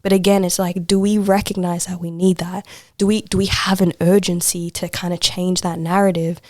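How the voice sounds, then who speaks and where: A woman's voice: lowish voice, flat delivery, normal speed, darkish tone, no room sound, clean studio sound. A woman chatting casually as an interview guest, informal and emphatic, repeating phrases for stress. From Australia. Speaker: podcast guest, poet and social worker.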